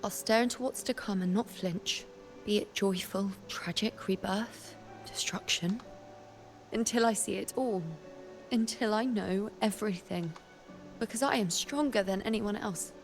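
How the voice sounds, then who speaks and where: A woman playing a solemn, resolute seer in a video game, speaking gravely and deliberately over background music. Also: medium pitch, lightly acted. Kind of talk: scripted video game story acting.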